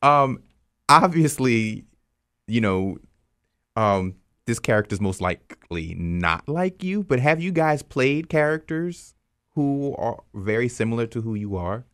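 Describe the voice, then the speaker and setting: A man hosts a casual interview. His pitch moves around a lot, and the sound is dry and free of room echo. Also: animated, measured pace, a bit bright, clean tone. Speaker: interview host.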